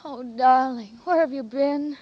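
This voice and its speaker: Anxious, lovestruck young woman for vintage radio melodrama; high, husky, breathless voice on a muffled old broadcast. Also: unhurried. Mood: loving, excited. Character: devoted, anxious young woman in love, ex-nurse. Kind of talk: 1940s radio drama acting.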